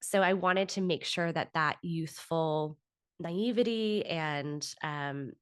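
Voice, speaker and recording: A woman's voice: low voice, takes it slowly, smooth. A woman in her thirties speaking as an interview guest, giving articulate, reflective answers at length in a conversational style. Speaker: interview guest, author and actor.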